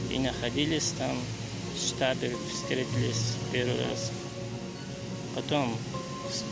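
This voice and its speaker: A man, a volunteer soldier giving halting, reserved interview answers in short broken phrases. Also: medium pitch, vocal fry, rough recording. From Azerbaijan. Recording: loud music bed under the voice.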